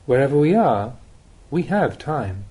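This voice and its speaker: A man reading a spiritual teaching aloud as an audiobook, with measured, deliberate phrasing and pauses. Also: medium pitch, sing-song, dark tone, slightly rough. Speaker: Buddhist monk reading recorded teachings. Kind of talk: audiobook reading of a Dhamma talk.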